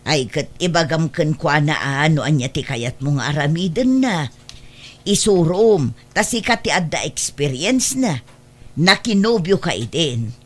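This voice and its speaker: A woman's voice: sits low, expressive, measured pace. A woman playing a frank middle-aged mother in an audio drama, chiding and advising in a matter-of-fact way.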